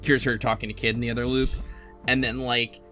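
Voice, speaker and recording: A man's voice: medium pitch, level tone, fairly quick, pushed and bright. Man thinking aloud over music. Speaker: podcast host. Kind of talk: casual group discussion.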